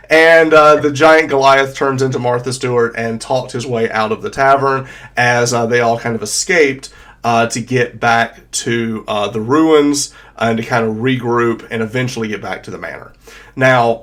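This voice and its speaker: A man's voice: medium pitch, a bit bright. A man in his thirties with a cold and a congested voice, casually recapping a tabletop campaign as dungeon master over a video call, with lots of fillers and restarts. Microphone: desk mic on a video call.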